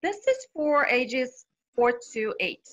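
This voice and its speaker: A woman, speaking in a bright, fairly high voice. She is a children's author answering interview questions in a cheerful way, laughing often and filling pauses with "um.". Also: big pitch swings, lo-fi sound. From Bulgaria. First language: Bulgarian. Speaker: children's book author, guest.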